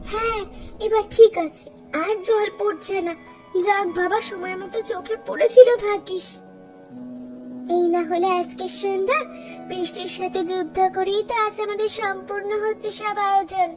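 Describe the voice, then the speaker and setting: An actor voicing a chatty, teasing young village girl in a cartoon story. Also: very high voice. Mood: busy, concerned. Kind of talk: Bengali cartoon story dialogue.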